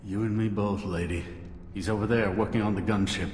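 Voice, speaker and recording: Male actor as freelance mercenary, low voice, laconic, offhand, unhurried, video game, roomy echo. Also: fairly even intonation, a little mellow, lightly acted. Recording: roomy hall reverb, faint noise.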